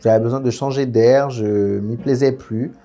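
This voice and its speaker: A man's voice: mid-range voice, steady tone, normal speed, mellow, lo-fi sound, soft background music. A young man from French Guiana, a guest in a casual interview, telling his story frankly with lots of fillers. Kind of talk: candid casual interview chat.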